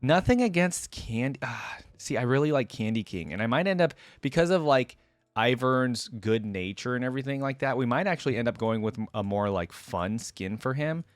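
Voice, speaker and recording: A man's voice: mid-range voice, animated, moderate pace, no echo, soft background music. A man hosting a reaction video with casual, informal commentary, thinking aloud as he goes.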